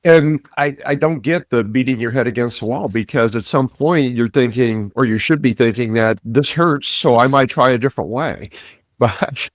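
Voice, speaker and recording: A man hosting a casual interview, speaking in a measured way with dry, wry humor. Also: mid-range voice, dry recording. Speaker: host.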